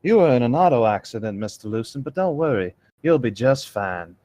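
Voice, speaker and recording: A man acts out a sultry southern nurse from a story, sweet and reassuring. The voice has a soft Georgia accent and lilts up and down freely. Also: medium pitch, expressive, a little fast, slight background noise, natural voice. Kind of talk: amateur dramatic reading.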